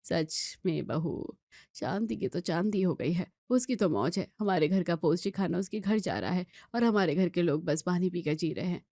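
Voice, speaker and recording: A woman's voice: on the low side, animated, normal speed, dark tone, no echo, clean studio sound. A woman voicing a concerned, disapproving older mother-in-law in an Indian family story, with a grumbling, matronly manner. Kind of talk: acted Hindi family story dialogue.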